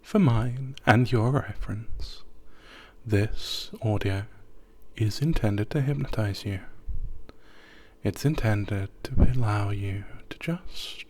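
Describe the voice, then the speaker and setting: A man guiding a sleep hypnosis, talking softly and often half in a whisper, rambling and repeating himself. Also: lowish voice, slow.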